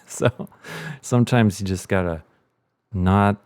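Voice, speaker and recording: A man's voice: lowish voice, slow, darkish tone, smooth, no room sound. A man explaining sound design in a casual, informal tutorial, thinking aloud as he goes.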